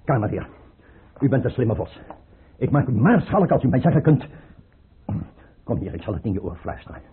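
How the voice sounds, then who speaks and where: An actor playing an imperious, suspicious tsar in a Dutch radio play, with an abrupt, commanding and erratic manner. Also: male voice, medium pitch, big pitch swings, moderate pace, breathy edge. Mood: suspicious, volatile. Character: imperious, suspicious, volatile tsar barking orders. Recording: muffled old radio play recording.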